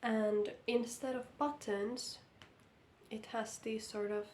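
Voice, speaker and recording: A woman from Finland with a Finnish accent, speaking English, sounding croaky from being sick as she talks through a knitting update slowly and carefully. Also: on the high side, fairly even intonation, a little mellow, reverberant, a little hiss or hum. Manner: slow, careful, hesitant. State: hoarse from illness. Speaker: knitting vlogger. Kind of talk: casual vlog update. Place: indoor room under lamp light.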